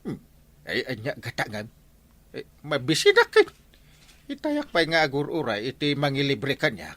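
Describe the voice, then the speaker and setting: An actor playing a jovial village captain who cadges free snacks, in an Ilocano comedy drama. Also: male voice, mid-range voice, wildly swooping pitch, moderate pace, a bit bright, no echo. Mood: jovial, wheedling.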